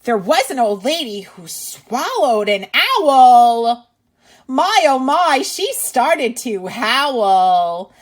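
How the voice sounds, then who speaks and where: A woman's voice: a little high, sing-song, very slow. A woman reading a storybook aloud to young children as a teacher and host, with exaggerated expression and asides to the listener.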